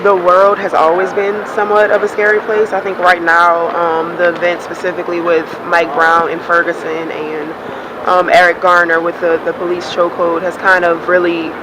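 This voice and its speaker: A university senior woman in her early twenties answering interview questions hesitantly, with many pauses and restarts. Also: lowish voice, level tone. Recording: background noise.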